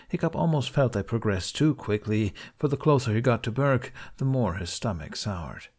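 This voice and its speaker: Male audiobook narrator, fairly low pitch, expressive scripted storytelling for fantasy fiction.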